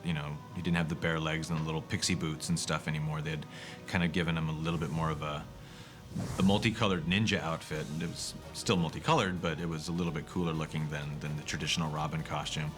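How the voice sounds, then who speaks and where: Low-voiced man, informal interview. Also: level tone, fast talker, music in the background. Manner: informal, explanatory. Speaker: animation creator interviewed. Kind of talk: casual documentary interview.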